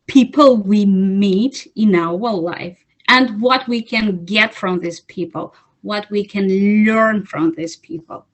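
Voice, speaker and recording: A woman's voice: mid-range voice, fairly bright tone, rough recording. A woman from Russia with a Russian accent, answering interview questions as a club president, earnest and searching for words.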